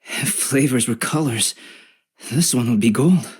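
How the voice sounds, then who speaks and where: A man voicing a calm, scholarly young alchemist in a video game, speaking in a measured, reflective and softly formal way. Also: medium pitch, breathy edge. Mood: pleased. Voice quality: gentle, calm. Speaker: voice actor playing a video game character. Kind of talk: scripted game character voice lines.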